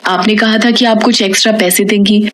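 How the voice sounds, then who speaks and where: A woman's voice: mid-range voice, steady tone, a little fast, close to an everyday voice. A woman playing a poor, resolute young housemaid in a moral story, speaking earnestly. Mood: polite, hopeful. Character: poor, determined young housemaid with a collector's dream.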